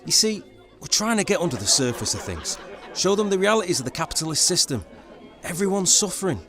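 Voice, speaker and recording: An earnest young working-class agitator from a radio play. His voice sits a little high and moves animatedly as he explains his politics with good humour. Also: big pitch swings, fairly quick, a crowd in the background. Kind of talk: radio play acting and narration.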